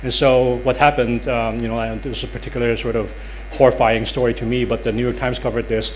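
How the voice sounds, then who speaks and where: A man's voice: mid-range voice, level tone, brisk, a little hiss or hum, poor audio. A man in his thirties with a Dutch accent giving a workshop talk in a conference room, hesitant with many ums and uhs. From Netherlands. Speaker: lecturer.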